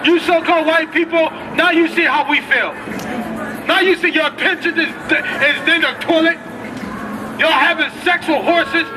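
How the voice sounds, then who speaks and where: Confrontational male street preacher, very high-pitched, open-air corner with crowd and traffic noise. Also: fairly even intonation, a little slow, over music, low-quality recording. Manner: loud, confrontational, addresses passersby directly. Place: outdoor city street corner.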